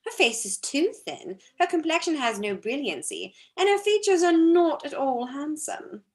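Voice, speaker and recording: A woman's voice: high-pitched, animated, normal speed. A woman playing a jealous young society lady in a period drama reading, mocking and affected in her manner. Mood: catty disdain. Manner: mocking, affected, drawling then darting.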